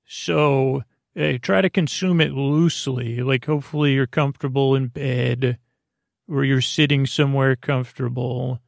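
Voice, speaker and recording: A man with a creaky voice hosting a bedtime podcast, rambling in a halting, goofy, digressive way. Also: mid-range voice, fairly even intonation, no room sound, clean studio sound. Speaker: podcast host.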